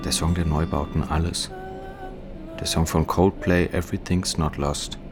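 A bleak sardonic guy narrating his depression, really deep creaky voice, radio play with music behind. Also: fairly even intonation, unhurried. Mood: weary, bitter. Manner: introspective, clipped fragments. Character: despairing male narrator living through depression, weary, bitterly sardonic. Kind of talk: literary monologue in a radio play.

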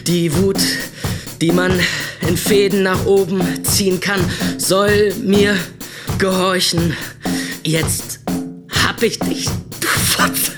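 Actor as slow, high-voiced raging young man over beats. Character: angry rebellious young man, raging and defiant. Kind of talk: radio drama monologue. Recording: loud beatbox drum music behind.

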